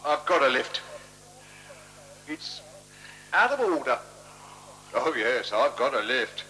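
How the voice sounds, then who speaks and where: An actor playing a shabby, apologetic hotel keeper in a radio play, rambling and repeating himself deferentially. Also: male voice, mid-range voice, sing-song, slow pace, pushed and bright, heavily acted. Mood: defensive, garrulous. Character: garrulous, apologetic, shabby male hotel keeper with endless excuses. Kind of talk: 1970s radio play acting. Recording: humming, noisy 1970s radio recording.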